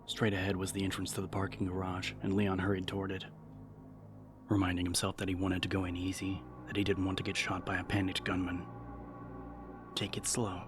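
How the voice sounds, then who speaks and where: A man narrating dramatic fiction as an audiobook, with measured, suspenseful delivery. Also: low voice.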